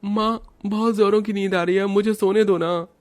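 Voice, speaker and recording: A man's voice: high-pitched, fairly even intonation, normal speed, darkish tone, voice pushed up, close to an everyday voice. A man voicing a tired young boy who whines and pleads in a cartoon family story. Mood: sleepy, pleading. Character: hungry, sleepy young boy whining to his mother.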